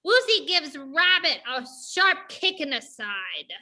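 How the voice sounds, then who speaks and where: Casting: female stage-directions reader, piercingly bright and lively scripted narration. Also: high-pitched, slow pace.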